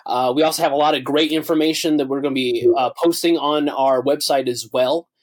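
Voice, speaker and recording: Guy hosting a wedding podcast, kinda high voice, pumped, says uh a ton. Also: fairly even intonation, fairly quick. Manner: enthusiastic, many filler uhs. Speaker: podcast host.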